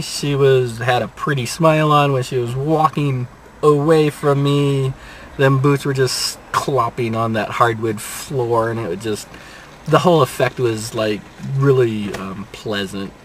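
An older man talks slowly into a dashboard camera from inside a parked car. His delivery rambles along, sprinkled with dry jokes at his own expense. A faint hum of vehicle and street noise sits behind his voice. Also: mid-range voice, fairly bright tone, rough recording. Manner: unhurried rambling delivery with dry self-mocking asides. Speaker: restaurant reviewer. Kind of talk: casual restaurant review vlog. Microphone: dashboard camera at close range.

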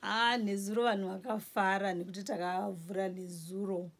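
Husky-voiced Zimbabwean woman shopkeeper. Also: medium pitch, steady tone. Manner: lists goods slowly while showing shelves. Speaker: grocery store owner. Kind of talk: casual interview in Shona. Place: small indoor grocery store.

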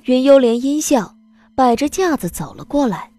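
A woman giving expressive scripted narration as an audiobook narrator. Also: high voice, normal speed, faint music behind.

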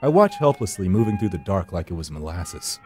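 A man's voice: deep voice, very animated, brisk, darkish tone, soft background music. A man reading first-person horror narration for a visual novel in a brooding, theatrical storytelling manner.

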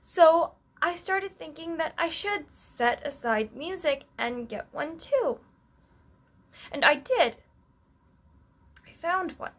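A woman performing a stage monologue as an earnest, anxious young female musician, wistful and self-doubting, halting and reflective with long pauses. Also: high voice, fairly bright tone, exaggerated voice. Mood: eager.

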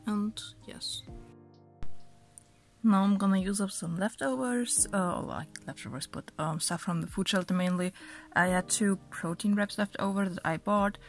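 A young woman talks casually into a nearby camera in a small bedroom, hesitant and full of fillers. She sounds slightly sick, her voice sits at a middling pitch, and faint music plays underneath. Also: moderate pace. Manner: chatty, hesitant, many fillers. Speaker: vlogger. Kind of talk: casual talk to camera and food voice-over.

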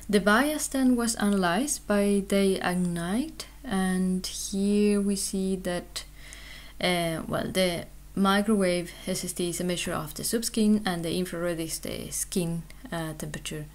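A woman research scientist giving an explanatory conference presentation, hesitant, with frequent pauses and ums. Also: lowish voice, a little mellow.

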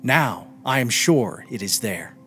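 A man's voice: medium pitch, lively intonation, slow pace, bright, forceful tone, soft background music. A man voicing an inquisitive young seafarer in a story reading, questioning and insistent. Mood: searching.

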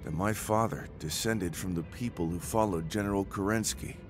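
A man playing a calm, pensive mercenary commander in a sci-fi video game, speaking in a measured, matter-of-fact way. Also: sits low, close to an everyday voice. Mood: calm, thoughtful. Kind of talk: video game cutscene acting. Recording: music under the voice. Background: music.

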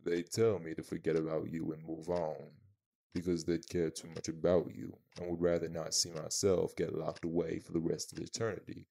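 Man playing imposing vampire spouse, very low voice, creaky, deadpan, haughty, protective, audio roleplay. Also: normal speed. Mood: threatening.

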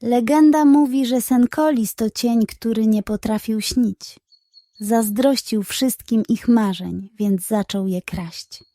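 A woman voicing a wise old female owl in a children's storybook, grave and caring, with a solemn, measured delivery. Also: on the high side, dark tone, no echo, studio quality.

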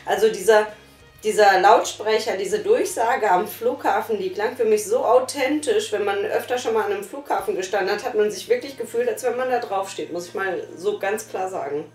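A woman's voice: medium pitch, fairly quick, darkish tone. A young woman reviewing an audio drama, talking frankly and emphatically from a sofa in a small living room, recorded by a distant camera. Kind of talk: casual review chat. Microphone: far camera. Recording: roomy room sound, faint music.

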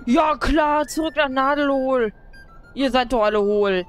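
A woman's voice: high-pitched, measured pace, clean tone, faint music behind. A young woman, a streamer teasing her co-op partner in casual gaming chat, laughing often.

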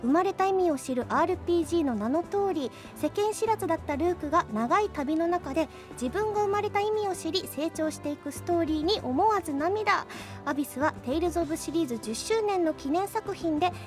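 A woman with an extremely high voice hosts a radio show. She reads out mail and notices over faint background music. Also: expressive, brisk, few pauses. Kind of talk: radio hosting, reading mail and announcements.

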